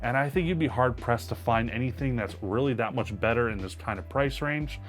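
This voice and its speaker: A man's voice: medium pitch, brisk, soft background music. A man with a powerful voice giving an upbeat, emphatic product review to camera. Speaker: tech reviewer.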